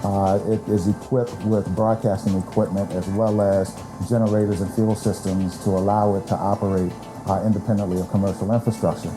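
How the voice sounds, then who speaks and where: An American man speaking as an emergency management official, explaining things in formal, measured phrases with small pauses. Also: on the low side, little pitch movement, rough recording. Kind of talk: explanatory on-camera statement in an official video. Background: clear background music.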